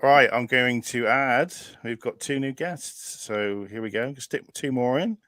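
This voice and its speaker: A man's voice: mid-range voice, a little slow, a bit bright, dry recording. A man hosting a casual live stream chat, talking loosely with frequent restarts and laughs. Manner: chatty, laughs often, trailing restarts.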